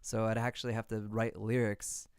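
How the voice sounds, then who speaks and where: A man's voice: lowish voice, level tone, normal speed, darkish tone, smooth, no room sound, clean studio sound. A man chatting casually on a livestream while producing music, thinking aloud in an informal way. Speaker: music producer live streaming.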